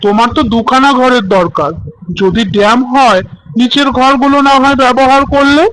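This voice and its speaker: A man's voice: very high-pitched, normal speed, fairly bright tone, dry recording, rough recording. A man playing an ordinary office clerk in colloquial, questioning dialogue for a cartoon story. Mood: persuasive. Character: two male office clerk friends house-hunting, conversing.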